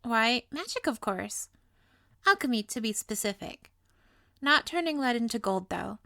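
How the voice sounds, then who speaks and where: A woman's voice: a little high, lively intonation, a little slow, vocal fry, sharp tone, no room sound, clean studio sound, full character voice. A woman playing a sweet, playful, possessively lovestruck young witch in an intimate audio roleplay, with coy, doting, theatrical delivery. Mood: gleeful, proud.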